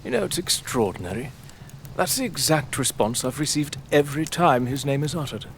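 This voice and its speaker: An actor playing an arrogant, sardonic aristocratic lord in an audio drama, with a British accent and a pompous, theatrical manner. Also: male voice, medium pitch, lively intonation, fairly quick, a little hiss or hum, close to an everyday voice. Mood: prying, amused.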